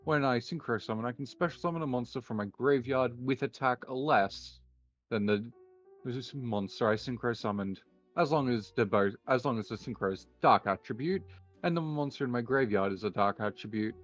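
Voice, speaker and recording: A man's voice: medium pitch, normal speed, faint music behind. Musing, mock-formal man in a duel roleplay. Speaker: player in a card duel roleplay. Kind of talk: improvised anime card-duel roleplay, calling out moves.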